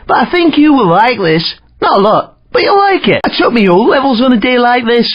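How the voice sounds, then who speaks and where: A chatty, cheeky working-class London woman joking in slang as a video game announcer. Her voice is very lively in pitch, on slightly dull audio. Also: brisk. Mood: cheeky, chirpy. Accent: London English. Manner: slangy, jokey.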